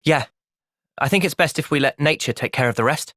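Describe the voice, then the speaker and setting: A young man playing an earnest, thoughtful young soldier hero in a fantasy video game's cutscenes, with expressive delivery. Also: on the high side, fairly quick, no room sound, clean studio sound. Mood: calm, thoughtful. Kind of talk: video game cutscene acting.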